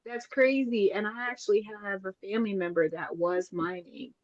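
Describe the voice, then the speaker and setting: A woman's voice: medium pitch, moderate pace, no echo. Chatty Texan woman on a dull dry line. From Texas. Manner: chatty, with many fillers. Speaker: host. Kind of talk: casual chat.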